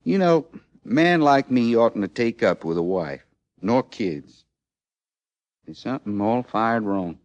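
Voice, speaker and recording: An actor in old-time radio western style playing a condemned American outlaw in his thirties, with a rustic, halting Western drawl and a rueful, plainspoken manner. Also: medium pitch, expressive, a little mellow, no echo, lo-fi sound, lightly acted. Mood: wistful. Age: 30s. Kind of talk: mid-century American radio western acting.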